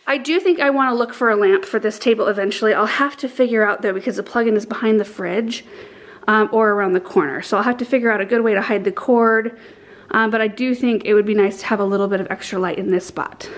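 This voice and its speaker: A woman in her thirties chatting to her handheld camera at home, enthusiastically explaining her garden cuttings and arrangements as she works. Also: fairly high pitch. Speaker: gardening vlogger. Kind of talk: casual chat to camera. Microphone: handheld camera at arm's length.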